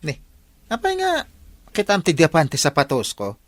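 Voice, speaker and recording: A man's voice: fairly high pitch, wildly swooping pitch, moderate pace, no echo. A man with an Ilocano accent playing an evasive, mock-innocent villager in a comedy radio drama, stalling with squealed protests. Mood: feigned cheer.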